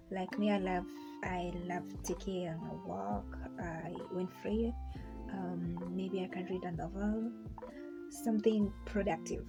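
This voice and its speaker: A young woman with a Kenyan accent, whose first language is Swahili, giving casual advice in a chatty, hesitant way with many fillers and restarts. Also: medium pitch. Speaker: vlogger. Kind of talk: casual how-to vlog. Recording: music playing underneath.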